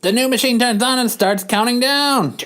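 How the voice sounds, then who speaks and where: A man's voice: very high voice, moderate pace, sharp tone, smooth. Male podcast narrator reading comic book stage directions, playful and projected delivery.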